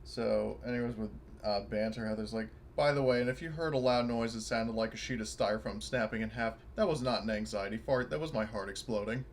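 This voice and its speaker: A man's voice: fairly low pitch, a little fast, hardly any pauses. A man playing a tabletop roleplaying game, chatting casually as a player. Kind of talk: casual chat. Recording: noticeable background noise with clicks.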